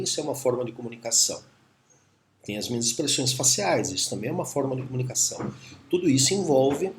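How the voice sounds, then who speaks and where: Brazilian guy teaching, gravelly voice, calm and thoughtful, lots of little pauses. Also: medium pitch. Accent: Brazilian Portuguese. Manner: calm, musing, with tag questions and pauses. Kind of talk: reflective video lecture.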